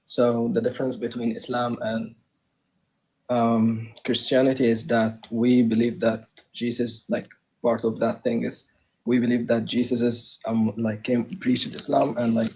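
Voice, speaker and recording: A man's voice: mid-range voice, completely flat delivery, a little slow, darkish tone, husky, low-quality recording. A young man from Egypt, a student in a live debate, answering hesitantly with many fillers and restarts. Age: young adult. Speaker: debate participant.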